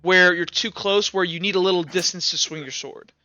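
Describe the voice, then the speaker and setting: A man with a fairly high, clear voice improvises as game master of a tabletop roleplaying game. His delivery is bright and forward, casual and halting, circling back as he explains. The recording is close and dry. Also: fairly even intonation, no echo, highs cut off, faint music behind.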